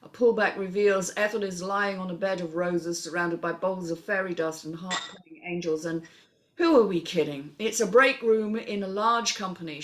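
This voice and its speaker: A woman's voice: lowish voice, a bit bright. A woman narrating a screenplay, reading out scene headings and stage directions as scripted narration.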